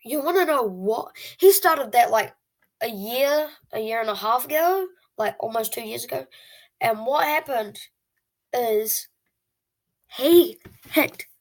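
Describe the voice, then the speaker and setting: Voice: mid-range voice, animated, slow, rough recording. An eleven-year-old child hosting a video channel, telling stories casually to viewers in a dramatic, whiny way. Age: child, eleven years old.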